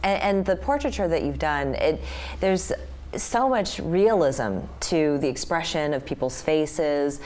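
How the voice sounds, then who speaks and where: A woman hosts a TV news interview with clear enthusiasm for her guest. Her voice sits very low for a woman. She praises the guest warmly and leads with eager questions. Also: expressive, measured pace. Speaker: TV news show host.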